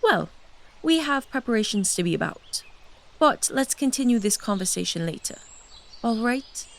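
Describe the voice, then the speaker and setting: A woman's voice: fairly high pitch, very animated, normal speed, gravelly. A woman voicing an ancient, seductive and domineering blood-drinking noblewoman in a fantasy audio drama. She speaks in a silky, confident, commanding way. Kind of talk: full cast audio drama acting.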